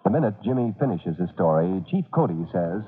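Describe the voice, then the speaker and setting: A man's voice: low-pitched, big pitch swings, a little fast, slightly rough. A man narrating an old-time radio drama as a dramatic storyteller, reading from a script. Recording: muffled lo-fi vintage radio recording.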